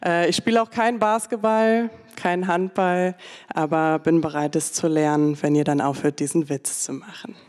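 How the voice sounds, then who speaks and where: A young woman performing her own poem at a poetry slam on a dark stage, delivered rhythmically and ironically into a stand microphone. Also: fairly low pitch, unhurried, long reverb tail. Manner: rhythmic, ironic, rising to intense. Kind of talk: spoken word performance.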